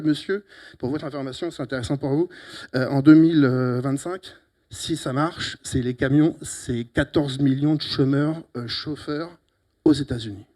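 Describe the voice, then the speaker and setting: A middle-aged man from Italy with an Italian accent, giving a lively, teasing closing talk at a conference on a handheld mic, with sudden loud emphatic bursts. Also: a little high. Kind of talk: lively, joking conference speech. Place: conference hall. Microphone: handheld microphone.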